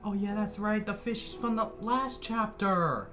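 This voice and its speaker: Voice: mid-range voice, measured pace, music in the background. A reaction video host giving casual commentary in an exclamatory, emotional way with mild substitute swearing.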